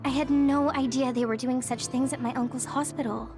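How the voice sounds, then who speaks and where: A woman's voice: sits high, steady tone, fairly quick, over music. A woman voicing an earnest, polite young female recruit in a video game, with a hesitant way of talking. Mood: bewildered. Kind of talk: English video game voice acting.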